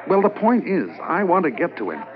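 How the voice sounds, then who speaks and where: An actor playing a calm, confident undercover policeman in a 1950s radio drama. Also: on the high side, brisk. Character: undercover Canadian policeman posing as smuggler. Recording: dull old radio transcription, faint hiss.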